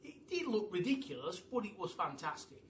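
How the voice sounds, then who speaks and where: A man reads a children's story aloud in a theatrical way, leaning on dramatic pauses and emphasis. His voice sits a little away from the mic in a roomy, echoing space, with faint noise behind it. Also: high voice, very animated, a bit bright, far from the mic. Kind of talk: animated storytime reading in a child narrator's voice.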